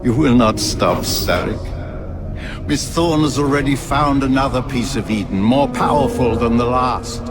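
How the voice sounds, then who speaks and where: A man's voice: medium pitch, measured pace, lightly acted. Actor as grave Victorian male scientist, music behind. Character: driven, devout Victorian male scientist villain. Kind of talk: video game cutscene acting.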